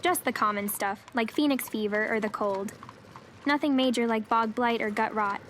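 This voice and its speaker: A modest young satyr woman, voiced by an actress in a fantasy audio drama. Her voice sits a little high and she speaks in an unassuming, self-doubting way. Faint animal ambience runs underneath. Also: normal speed, fairly bright tone, a little hiss or hum, lightly acted.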